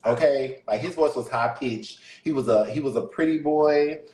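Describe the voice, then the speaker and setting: Man, deep voice, candid chatty guest, laughs a lot, echoey room. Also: normal speed, a little mellow. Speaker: guest musician. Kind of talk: casual interview chat.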